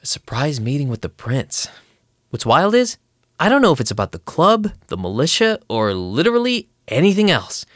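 A man's voice: a little high, wildly swooping pitch, normal speed, no echo, studio quality. An actor playing a weary, rattled vampire club DJ who narrates his night in a wry first-person audio drama style. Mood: uneasy, wry. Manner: wry, sardonic. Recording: music behind the voice.

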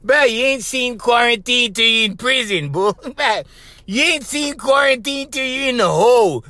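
A man's voice: very high voice, expressive, moderate pace, sharp tone, no room sound, full character voice. A man playing a brash Hawaiian ex-con who hosts a podcast, speaking in Hawaiian Pidgin with laid-back slang and a "bro" on every line. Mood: preachy, joking. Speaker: podcast host. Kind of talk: comedic character monologue.

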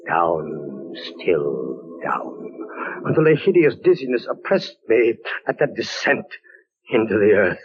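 An actor playing a fevered, frightened soldier imprisoned by the Inquisition, halting and theatrical in old radio horror style. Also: male voice, mid-range voice, a little hiss or hum. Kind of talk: 1940s radio horror acting. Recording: dull vintage radio drama recording.

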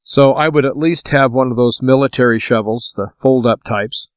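A man hosting a talk podcast solo, giving practical, plainspoken advice in informal, deliberate phrases with short pauses. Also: mid-range voice, no room sound, studio quality. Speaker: podcast host.

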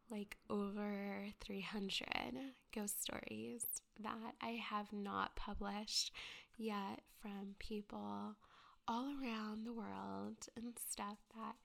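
A young woman with a stuffy cold, chatting softly and half-whispering as a ghost story podcaster, rambling with many fillers and a girly voice. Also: mid-range voice, gravelly. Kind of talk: soft whispered casual chat.

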